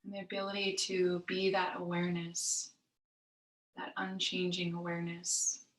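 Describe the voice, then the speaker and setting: Female meditation guide, very slow and soothing, narrow pitch movement, long pauses, recorded at a distance from the mic. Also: monotone, a bit bright. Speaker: yoga nidra meditation teacher. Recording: roomy echo, distant mic.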